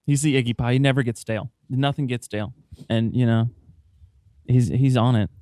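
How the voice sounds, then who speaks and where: A man's voice: medium pitch, fairly even intonation, a little fast, warm tone, no echo, clean studio sound. A man co-hosting a casual podcast, wry and offhand, swearing freely. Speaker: podcast co-host.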